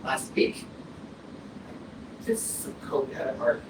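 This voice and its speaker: An actor playing a troubled, earnest young man with a hesitant delivery in a staged play reading. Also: natural voice. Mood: guarded. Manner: hesitant, halting. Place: arts center stage. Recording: very echoey hall, distant, faint noise.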